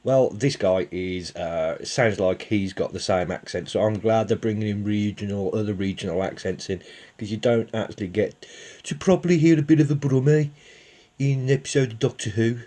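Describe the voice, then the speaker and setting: A man's voice: fairly low pitch, moderate pace, mellow. A man with a Birmingham accent giving a casual, rambling TV episode review, full of fillers and jokey asides to viewers. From England.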